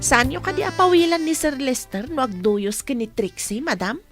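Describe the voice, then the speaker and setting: A woman's voice: high voice, animated, normal speed, loud music behind the voice. A woman acting in an Ilocano radio drama as a chatty, respectful employee talking to her madam.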